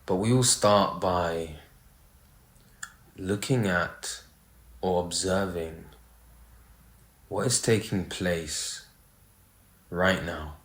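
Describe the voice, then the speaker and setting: A man leading a guided meditation, speaking in short phrases with pauses between them. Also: low voice, a bit bright. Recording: roomy, echoey sound.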